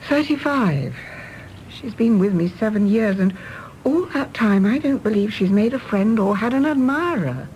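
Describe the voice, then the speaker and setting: A woman's voice: on the high side, expressive, moderate pace, darkish tone, slight background noise, lightly acted, rough recording. An actress playing a poised, candid British society woman with a tarnished reputation in a radio play. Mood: concerned, wistful. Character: sympathetic society woman with a scandalous past, candid.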